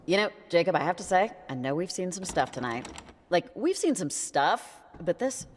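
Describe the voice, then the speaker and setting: A woman's voice: lowish voice, sing-song, moderate pace, a little hiss or hum. An actress playing a wry, skeptical young woman in a video game, speaking in a naturalistic, understated, conversational way. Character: dry-witted, skeptical young woman exploring a cult's hideout. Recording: music behind the voice.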